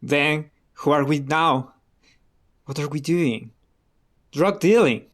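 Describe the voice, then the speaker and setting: A man's voice: on the high side, animated, slow pace, a bit bright, exaggerated voice. A man playing an earnest, disillusioned activist turned informant in a radio play, guarded but challenging. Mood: reproachful.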